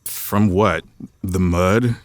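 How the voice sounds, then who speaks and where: An actor playing a grumpy, sardonic fossil scientist with a hidden grief, in an audio drama. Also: male voice, low voice, fairly even intonation. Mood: exasperated, alarmed. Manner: sardonic, halting when emotional. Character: grumpy, wry male fossil scientist, grieving his colleague.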